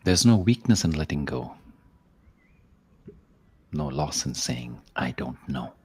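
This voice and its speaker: A man leading a guided meditation as host, speaking in a measured, contemplative way with long pauses and softly trailing phrases. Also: very deep voice, big pitch swings, husky, dry recording. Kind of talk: slow guided meditation, spoken-word reflection with verse.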